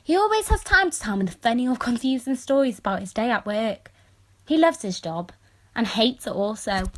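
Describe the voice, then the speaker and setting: A woman's voice: a little high, big pitch swings, normal speed. A woman voicing an exasperated, sardonic sixteen-year-old girl in an audiobook, telling her story in a wry, emphatic, complaining way. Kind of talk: first-person audiobook narration.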